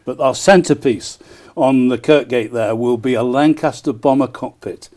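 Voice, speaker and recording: An enthusiastic man promoting an event as a broadcast interview guest, often pausing on small hesitations. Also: mid-range voice, a little mellow. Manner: enthusiastic, frequent hesitant ers. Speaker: town crier and festival promoter, interview guest.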